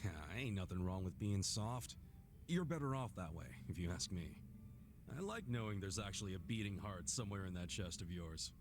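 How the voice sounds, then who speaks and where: An actor playing an earnest, blunt, big-hearted tough-guy hero in a video game, with a gruff, hearty voice. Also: low-pitched, animated, normal speed, background noise. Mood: supportive, earnest. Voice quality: gruff, gravelly. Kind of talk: video game cutscene acting.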